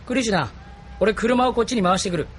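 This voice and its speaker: Young anime sidekick driver, high voice, talks fast, blunt, informal. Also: male voice, fairly bright tone, slight background noise. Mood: casual. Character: brisk, casual male comrade and driver. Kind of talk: anime audio drama acting.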